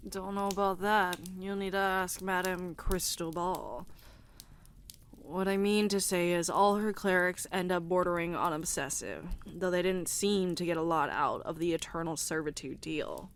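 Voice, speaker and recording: A woman's voice: on the low side, a little slow, clean tone. A woman playing a sardonic, irreverent commentator in a fantasy drama, dry and casual in delivery. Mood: wry. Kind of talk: conversational voice acting in fantasy drama.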